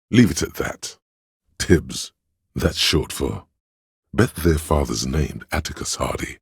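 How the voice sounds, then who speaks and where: A man voicing a detective's pretentious, arty inner voice for a video game, theatrical and deliberate, with a gravelly, husky tone. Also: a bass voice, no room sound, clean studio sound, exaggerated voice. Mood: urging. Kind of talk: video game narration, second-person commentary.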